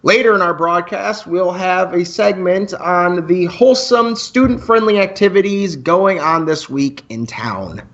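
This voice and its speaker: A high-pitched, playful high school boy played by a man chats as co-host of a campus radio show. He talks in wordy announcer patter, in an amateur radio drama with a slightly muffled lo-fi recording. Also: measured pace, hardly any pauses, a bit bright. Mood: upbeat. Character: male eleventh-grade student co-hosting a campus radio show, playful, wordy.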